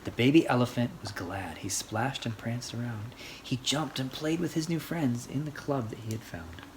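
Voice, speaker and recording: An adult storyteller reading a rhyming picture book aloud for children in an expressive, sing-song way. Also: medium pitch, normal speed, poor audio. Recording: faint background noise.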